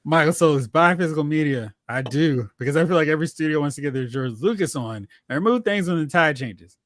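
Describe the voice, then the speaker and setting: Male podcast co-host, high bright voice, opinionated rants, casual pop culture talk. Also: fast talker, dry recording, studio quality. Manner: animated, opinionated ranting.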